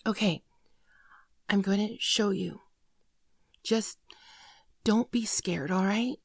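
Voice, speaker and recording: A timid female shapeshifting alien , acted by a woman, pleads softly in an intimate ASMR roleplay. The delivery is halting, tearful and apologetic, often falling into a whisper. The sound is dry and close, with a mid-range pitch. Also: gravelly, no room sound. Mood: nervous, confessing. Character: frightened, apologetic female shapeshifting alien posing as human girlfriend.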